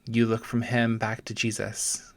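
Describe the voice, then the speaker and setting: A man, a pastor gently and deliberately guiding listeners through a scripture meditation in short, paused phrases. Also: on the low side, completely flat delivery. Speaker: pastor leading a guided meditation. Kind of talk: slow guided meditation with scripture storytelling.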